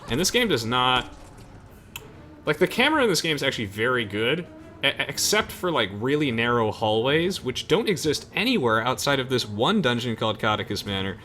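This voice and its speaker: A man's voice: medium pitch, big pitch swings, brisk, pushed and bright. A young man giving casual, chatty gameplay commentary to friends, explaining things with hesitant trailing asides. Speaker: gamer hosting a let's play.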